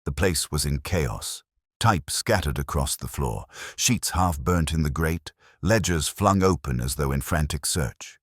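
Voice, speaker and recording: An extremely deep male voice narrates a dramatic story in a formal, measured way. The sound is a little husky and recorded dry and close, with no room around it. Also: animated, dry recording. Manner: measured, formal, literary delivery. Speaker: audiobook narrator.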